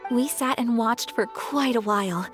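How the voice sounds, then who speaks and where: Woman as a gentle, high-voiced refined young lady. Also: moderate pace, music in the background, lightly acted. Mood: serene. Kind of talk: video game voice acting.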